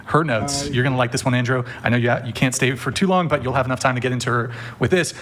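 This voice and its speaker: Male podcast host in his thirties, quick and blunt, casual panel chat. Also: mid-range voice, a crowd in the background. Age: 30s. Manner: blunt, provocative, says "bro".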